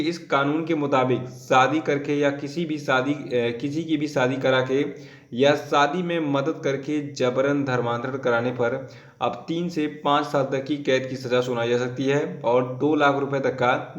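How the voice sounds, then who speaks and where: Hoarse male tutor, mid-pitched, explains patiently and repeats key facts; dull, roomy recording. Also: fairly even intonation, unhurried. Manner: explains step by step, repeats names and dates to memorize. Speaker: current affairs teacher. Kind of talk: exam-prep current affairs lesson.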